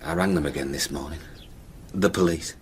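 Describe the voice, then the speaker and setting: An actor playing a worried, gentle husband in a radio drama, awkwardly coaxing and hesitant. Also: male voice, extremely low pitch, a little fast, a little hiss or hum.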